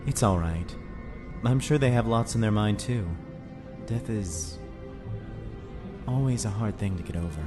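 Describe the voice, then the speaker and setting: A man playing a kindly, consoling man with a private grief in a fan anime drama, speaking gently and reassuringly. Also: fairly low pitch, measured pace, pauses often, natural voice. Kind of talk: fan dub anime parody acting. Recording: music under the voice.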